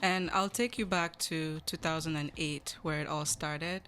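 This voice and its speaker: A woman's voice: deep voice, fairly even intonation, unhurried, a bit bright, a little hiss or hum. A woman from Cameroon with a Cameroonian accent, answering conversationally as a guest in an interview. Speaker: podcast guest, charity representative.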